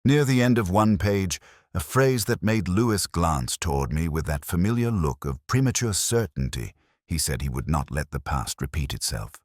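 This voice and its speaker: A man narrating a scripted first-person detective story in a measured, brooding, literary manner. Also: low voice, animated, darkish tone, dry recording, studio quality.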